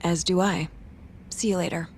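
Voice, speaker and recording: Woman acting a low-voiced, deadpan law office worker. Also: moderate pace, a little hiss or hum, natural voice. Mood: reserved. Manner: clipped, deadpan, polite. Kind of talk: English video game dub acting.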